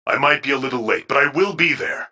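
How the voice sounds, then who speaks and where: Earnest, bright-voiced loyal friend. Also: male voice, medium pitch, quick pace. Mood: reassuring. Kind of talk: video game cutscene acting.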